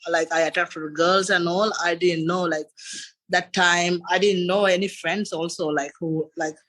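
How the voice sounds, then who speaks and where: A man's voice: high-pitched, fairly even intonation, normal speed, sharp tone. A man in his thirties with a Sri Lankan accent, a podcast guest telling his story in a casual, rambling way, often saying "and after that". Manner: rambling, repeats "and after that" and "you know". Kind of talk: casual interview chat.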